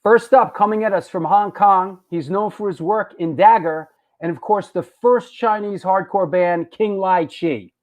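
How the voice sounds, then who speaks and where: A high-pitched man hosts a live online show. He talks loosely and jokes around, stumbling over and repeating his words. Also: a little slow, few pauses, darkish tone. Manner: loose, joking, repeats himself. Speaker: host.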